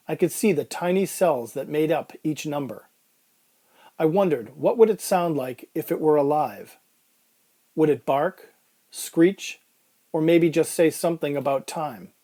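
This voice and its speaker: A man playing an uneasy, strapped-down patient, telling his surreal ordeal as brooding, deliberate first-person narration in an audio drama. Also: a little high, warm tone, close to an everyday voice.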